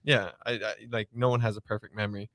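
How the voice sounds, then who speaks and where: A man in a casual group chat, telling stories in a relaxed way with fillers. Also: lowish voice, quick pace, no room sound.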